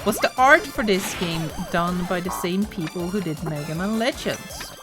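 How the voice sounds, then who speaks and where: Woman, relaxed gaming streamer, lilting delivery, game music underneath. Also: on the low side. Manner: chatty, rambling, quick to laugh. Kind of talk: casual gaming stream chat.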